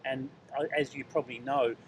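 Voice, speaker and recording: A man's voice: mid-range voice, flat delivery, fairly quick. A man doing casual street interviews outdoors at a beach, chatting and joking with the people he questions. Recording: noisy outdoor ambience.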